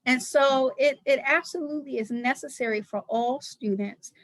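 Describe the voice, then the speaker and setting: A woman's voice: high-pitched, moderate pace, dry recording. A woman guest on an interview podcast, speaking as a nonprofit director in an earnest, emphatic way and repeating key words for stress.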